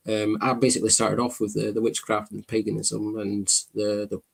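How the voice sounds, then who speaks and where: Husky man, chatty. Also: on the low side, level tone, a little fast. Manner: earnest, chatty, self-deprecating. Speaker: podcast guest. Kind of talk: casual chat.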